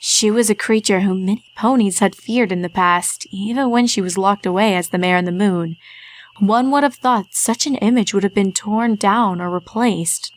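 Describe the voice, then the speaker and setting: A woman reading a fan story aloud in a steady, measured narration. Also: medium pitch. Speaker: reader.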